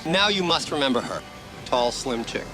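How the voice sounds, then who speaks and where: An actor playing a flippant, cocky ghost hunter in a motion comic, full of sarcastic wisecracks. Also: male voice, mid-range voice, sing-song, moderate pace, lightly acted. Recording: loud music behind.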